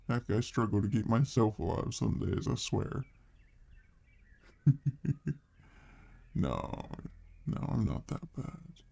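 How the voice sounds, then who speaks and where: A man acts out a thoughtful boyfriend on a date in an ASMR roleplay. His voice is soft and mellow with a lot of gravelly fry, and he talks slowly and casually. He rambles hesitantly, affectionate and gently teasing. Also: medium pitch, animated, heavily acted. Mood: musing, relaxed, then sheepish, defensive.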